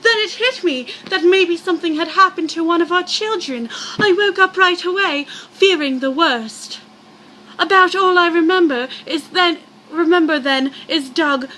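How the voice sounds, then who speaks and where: Girl doing a flustered wife in a ghost story, super high bright voice, animated, bit of noise behind. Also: heavily acted, low-quality recording. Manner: put-on higher voice, animated. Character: flustered wife recounting a frightening night.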